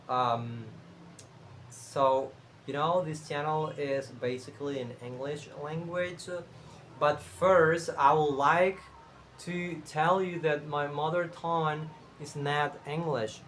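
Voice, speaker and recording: A young man from Cuba with a Cuban Spanish accent, Spanish his first language, talking casually to camera as a channel host, unhurried and thinking aloud with fillers and restarts. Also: a little high, steady tone, a bit bright, slight background noise.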